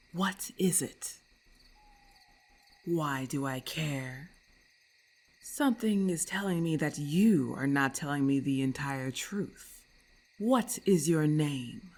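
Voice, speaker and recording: A woman voicing a haughty, irritable lamia in an ASMR roleplay, with hissing, imperious and formal speech, scornful and menacing. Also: deep voice. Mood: suspicious.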